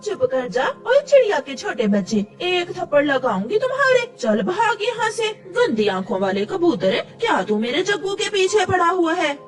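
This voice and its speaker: Scolding cartoon crow mum played by a woman, sly and dramatic, high voice with wild swings. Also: big pitch swings, normal speed, few pauses, recorded from a distance, soft background music. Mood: mock outrage, scolding. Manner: sharp-tongued, dramatic. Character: scolding, deceitful crow mother who coddles her son.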